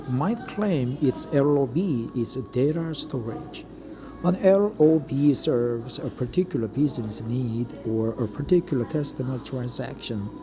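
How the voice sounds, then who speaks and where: A middle-aged Korean man with a Korean accent reads English aloud for practice, his voice sitting at an ordinary middle pitch. He stops often on fillers and repeats phrases he likes. A steady hum of noise runs under the recording. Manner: hesitant, frequent uh fillers, pauses to savor phrases. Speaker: English learner reading aloud. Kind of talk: self-study reading practice with commentary. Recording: noticeable background noise.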